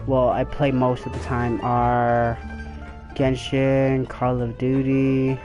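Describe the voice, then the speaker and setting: A man's voice: medium pitch, completely flat delivery, slow pace, dull audio, over music. A man streaming a game, chatting casually in a loose, rambling way. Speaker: gaming streamer. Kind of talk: casual chat while gaming. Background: game audio.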